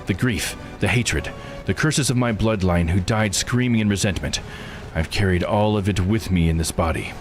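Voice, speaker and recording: Vengeful immortal lord for a visual novel, played by a man: low, grim, brooding, dramatic, with music clearly behind. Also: moderate pace, natural voice. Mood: brooding anguish. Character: bitter eight-hundred-year-old immortal lord, vengeful and brooding.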